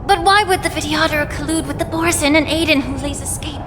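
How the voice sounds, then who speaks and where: An actress playing a poised young woman alchemist in a game, speaking in a deliberate, analytical way. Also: high voice, close to an everyday voice. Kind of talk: voiced game dialogue. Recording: reverberant game mix with music. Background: orchestral game music.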